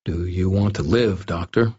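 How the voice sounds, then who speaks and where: A man voicing a stern, wry investigator wizard in an audiobook, speaking in a quiet, measured and threatening way. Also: deep voice, slightly rough, lo-fi sound. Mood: stern warning. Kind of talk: audiobook first-person dialogue.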